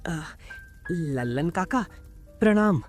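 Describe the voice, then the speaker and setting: Woman playing eager young village helper, lively pitch. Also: takes it slowly, soft background music. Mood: respectful, eager. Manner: polite, deferential, earnest. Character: eager, obedient, respectful unemployed village young man. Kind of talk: Hindi animated story dialogue.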